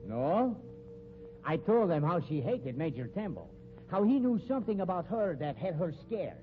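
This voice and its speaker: A man's voice: sits high, big pitch swings, moderate pace. An actor playing a sly, sneering carnival knife man with stilted formal phrasing in a 1950s radio drama. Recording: dull, noisy 1950s radio recording.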